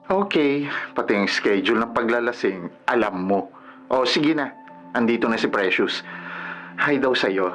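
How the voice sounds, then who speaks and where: A man's voice: medium pitch, lively intonation, unhurried, pauses often, fairly bright tone, soft background music. An actor with a Filipino accent playing a nosy young male friend who teases and mocks playfully in a radio drama. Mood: playful, mocking. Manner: mocking, playful, exclaiming.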